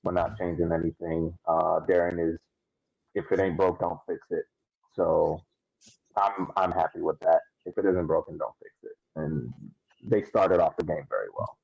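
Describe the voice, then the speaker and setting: A man with a low, creaky voice recaps a soccer match casually. He is hesitant and halting, and the recording is dry and a little dull. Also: fairly even intonation, dry recording. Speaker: soccer podcast panelist. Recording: dry, slightly muffled lo-fi mic.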